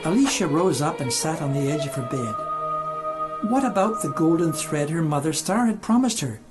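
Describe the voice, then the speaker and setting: A man's voice: fairly high pitch, big pitch swings, moderate pace. A man reading a scripted children's story as the narrator of an audio play. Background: music.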